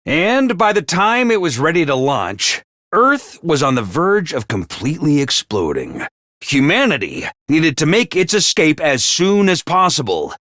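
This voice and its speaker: A man's voice: on the high side, huge pitch swings, a little slow, a bit bright, no room sound, studio quality, full character voice. A man voicing a chipper, cheerfully ruthless commanding officer of child soldiers in a video game, with bouncy drill-sergeant cheer over cold pragmatism. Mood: explaining. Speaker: voice actor for a video game character. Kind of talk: game character voice lines.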